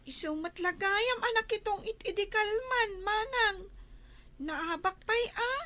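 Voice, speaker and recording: A woman's voice: extremely high pitch, measured pace, sharp tone, exaggerated voice. A woman with an Ilocano accent voicing a hesitant, pleading young village child in a comedy radio drama. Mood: nervous, pleading.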